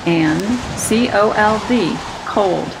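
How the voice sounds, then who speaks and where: A woman reading a children's storybook aloud with expressive, careful enunciation for young listeners. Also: mid-range voice, slow, creaky, noisy background. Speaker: storybook reader. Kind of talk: scripted children's storybook narration. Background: music.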